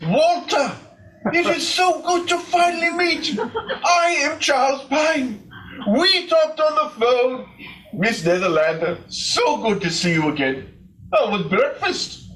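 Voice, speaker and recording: A man acts out an effusive, polished travel greeter for readers theater. His voice sits very high for a man. A faint noise runs under it. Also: animated, slow pace, a bit bright, exaggerated voice, poor audio. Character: smooth, effusive male travel-scheme greeter. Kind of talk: staged readers theater reading.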